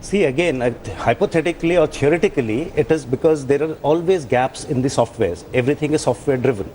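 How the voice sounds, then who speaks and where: A man's voice: medium pitch, fast, darkish tone, slight background noise. An older man with an Indian accent giving careful, qualified expert answers in an interview, repeating points for emphasis. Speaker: retired air force officer, expert guest.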